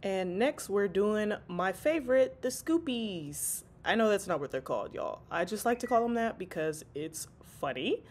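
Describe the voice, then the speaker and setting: A woman's voice: medium pitch, lively intonation, normal speed, hardly any pauses, a little mellow. A stuffy, congested woman chatting casually through a workout voice-over, calling her viewers y'all. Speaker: fitness vlogger.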